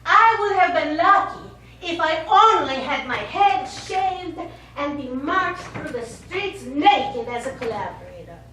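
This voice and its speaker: An actress on stage playing a bitter, wounded older Italian woman, speaking in a deliberate, accusing manner. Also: high-pitched, a bit bright, full character voice. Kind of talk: stage play acting. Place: small theatre studio, recorded from afar. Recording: echoey, distant stage recording with hiss.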